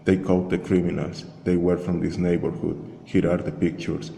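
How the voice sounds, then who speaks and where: Male narrator, dark flat delivery, measured and reflective scripted storytelling, echoey room with faint noise. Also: sits low, creaky. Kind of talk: slow scripted first-person narration, spoken-word art piece. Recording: echoey long reverb, faint hiss.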